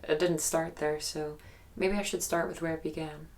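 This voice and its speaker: Casting: guarded young woman in investigative audio drama, very low register, fry-heavy, hesitant naturalistic storyteller carrying a haunted memory. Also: fairly even intonation. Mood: reflective. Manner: hesitant, reflective storytelling with pauses. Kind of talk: naturalistic documentary-style audio drama interview.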